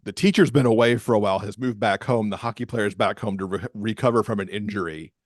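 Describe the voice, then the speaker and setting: A low-pitched American man talks casually as a podcast guest. He explains things in a chatty, conversational way, and the sound is very dry, with no room on the voice. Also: fast, no room sound. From Michigan, USA. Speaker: podcast guest, romance author.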